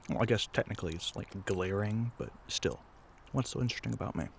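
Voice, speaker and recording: A man playing a low-voiced, teasing young tour guide. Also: fast, gravelly, slight background noise. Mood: teasing, sardonic. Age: young adult. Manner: casual, chatty, teasing, sighs between remarks. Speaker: audio roleplay voice actor. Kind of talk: scripted roleplay addressed to the listener.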